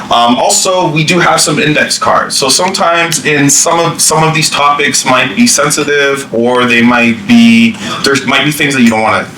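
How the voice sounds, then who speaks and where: A man's voice: mid-range voice, normal speed, hardly any pauses, bright, forceful tone. A man leading a staff training workshop in a meeting room, speaking informally with many fillers and restarts. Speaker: workshop presenter. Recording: echoey room from a distance, faint hiss.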